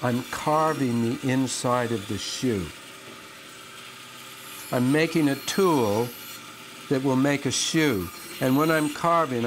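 An older man who carves shoe lasts, explaining his work calmly and step by step with frequent restarts while he cuts wood at a band saw in his workshop. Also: mid-range voice. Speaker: shoemaker and last carver. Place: workshop, indoors, next to a running band saw. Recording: clear power tool noise behind the voice.

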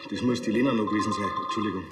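An actor playing an evasive workshop boss in a TV crime drama, speaking casually. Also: male voice, on the low side, fairly even intonation, racing, echoey room, in a large hall, close to an everyday voice. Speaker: actor in a TV police series.

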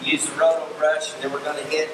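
A middle-aged man, calmly explaining livestock grooming with plenty of ums. He sounds distant from the mic, in a large, roomy indoor barn with a long echo. A steady air-conditioning hum sits behind him. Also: fairly high pitch, fast talker. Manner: relaxed, explanatory, with frequent ums and restarts. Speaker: livestock fitting instructor and show judge. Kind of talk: demonstration tutorial. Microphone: far camera mic.

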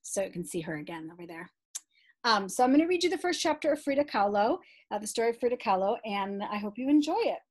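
A middle-aged woman reads a children's book aloud expressively and deliberately, adding chatty explanatory asides for young listeners. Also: mid-range voice, expressive.

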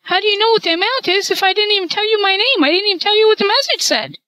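A man doing a squeaky high woman caller. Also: rapid-fire, sharp tone. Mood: prodding. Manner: needling, mock-innocent questions. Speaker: scambaiter.

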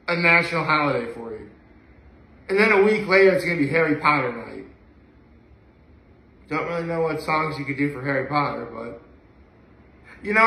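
A man's voice: a little high, gravelly, sharp tone. A young adult man chatting casually to camera at home in a gushing way, repeating phrases for emphasis. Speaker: video blogger. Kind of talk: casual solo chat to camera. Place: living room at home, indoors. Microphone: camera or phone a few feet away. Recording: echoey living room.

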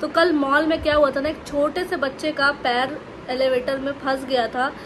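High-voiced young woman vlogging at home. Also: normal speed, a little hiss or hum. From India. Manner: animated storytelling to the camera, with lively gestures. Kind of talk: casual chatty vlog narration. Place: bedroom at home, indoors. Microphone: phone held close.

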